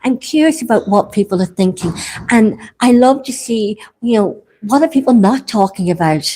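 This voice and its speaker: A woman's voice: a little high, expressive, normal speed, dark tone, rough recording. A middle-aged woman from Ireland, co-hosting a casual political chat in an earnest, rambling way with frequent restarts. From Northern Ireland. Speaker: political show co-host.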